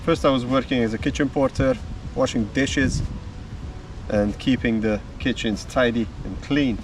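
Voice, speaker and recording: A man, talking outdoors in the woods as a drumming vlogger, easygoing and unhurried. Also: mid-range voice, steady tone, background noise. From Slovakia. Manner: slow, easygoing storytelling with small pauses. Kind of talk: casual self-introduction to camera. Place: outdoors in woodland. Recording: distant mic with faint music behind.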